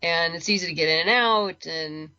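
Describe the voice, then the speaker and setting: A woman's voice: on the low side, normal speed, bright, forceful tone, no room sound, lo-fi sound. A woman chatting casually as a podcast guest, talking in a rambling, conversational way and repeating words for emphasis. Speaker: guest, comics and audio drama creator.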